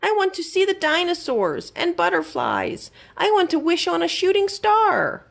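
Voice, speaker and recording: A woman's voice: extremely high pitch, huge pitch swings, a little slow, gravelly, pitched above the performer's own voice, full character voice. A woman voicing an eager, wistful little male pet mouse who pleads and exclaims in a children's storybook. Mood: eager longing.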